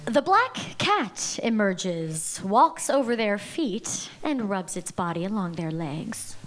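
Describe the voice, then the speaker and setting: A woman reading the stage directions at a live table read in a measured, dramatic way. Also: mid-range voice, big pitch swings, a bit bright.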